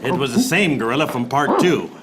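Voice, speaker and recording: An actor playing a hapless, exasperated private eye, narrating deadpan in a parody noir radio play. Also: male voice, medium pitch, brisk, background noise, lightly acted. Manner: deadpan hard-boiled narration.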